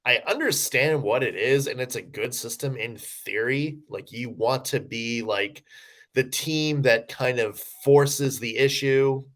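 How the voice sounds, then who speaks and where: A man's voice: medium pitch, a little slow, a bit bright. A man co-hosting a sports podcast, rambling through his analysis with many restarts. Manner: rambling, lots of fillers and restarts. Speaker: podcast co-host. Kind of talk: casual sports analysis chat.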